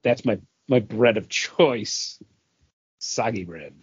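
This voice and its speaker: A man's voice: on the low side, slow pace, slightly rough, no room sound, lo-fi sound. A man co-hosting a podcast, talking casually with the others. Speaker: podcast host. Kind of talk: casual group chat.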